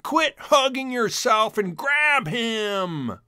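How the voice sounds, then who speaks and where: A man's voice: very high-pitched, big pitch swings, takes it slowly, bright, forceful tone, clean tone, dry recording, studio quality, pitched above the performer's own voice, heavily acted. A man voicing scheming, mean male mink villains in a children's storybook, with an exaggerated, pushy manner. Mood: angry urging.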